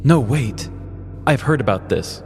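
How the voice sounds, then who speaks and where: A man's voice: medium pitch, lively intonation, unhurried, vocal fry, long reverb tail, over music, voice pushed up. A man voicing an earnest, fearful boy who talks in an ominous, overdramatic way. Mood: alarmed.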